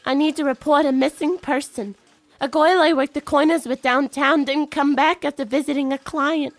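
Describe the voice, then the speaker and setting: A woman's voice: high voice, fairly even intonation, moderate pace, no echo. A woman playing a worried, earnest streetwalker in a radio drama, plain-spoken as she talks. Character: worried female streetwalker reporting her friend missing.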